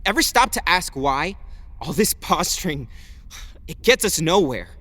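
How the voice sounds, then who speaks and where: An actor playing an angry, defiant young rebel, confrontational and sarcastic, in an audio drama. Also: male voice, on the high side, sing-song, a little slow, pushed and bright, exaggerated voice. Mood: bitter, defiant. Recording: long reverb over music bed.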